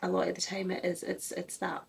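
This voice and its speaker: A woman's voice: on the low side, monotone, fairly quick, gravelly, breathy edge. A woman who grew up in Germany, co-hosting a music reaction chat and giving earnest opinions in a casual way. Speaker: co-host of a music reaction channel.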